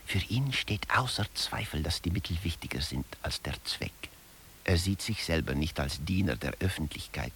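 A man playing a management theorist who lectures wryly and didactically in a radio play, with a gravelly, husky voice. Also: deep voice, a little hiss or hum. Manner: dry, didactic, addresses listeners directly.

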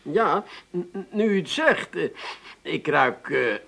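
A put-upon lodger in a radio comedy. His voice is high for a man, and he is self-important and stubborn, tripping over his words. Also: animated, slow pace, vocal fry, bright, forceful tone, full character voice. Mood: suspicious, flustered. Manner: stammers when flustered, self-important. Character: put-upon, penniless, stubborn lodger brother-in-law, flustered and indignant. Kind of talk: 1980s radio comedy acting.

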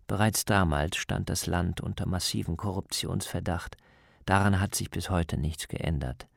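A man's voice: low-pitched, normal speed, creaky, dry recording, clean studio sound. A man reading scripted narration as the reporter of a radio documentary.

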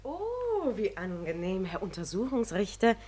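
An actress playing a refined young police chief's wife in a theatrical 1950s radio drama style. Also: female voice, medium pitch, huge pitch swings, a little slow, crowd noise. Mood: gracious. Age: young adult. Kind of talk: 1950s German radio play acting. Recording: noisy 1950s radio play recording.

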